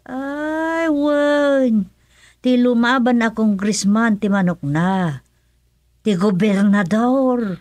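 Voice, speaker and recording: A woman's voice: a little high, drawn-out words, a little mellow, dry recording. A woman with an Ilocano accent playing an opinionated, motherly villager in a radio comedy-drama, colloquial and gossipy. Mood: curious.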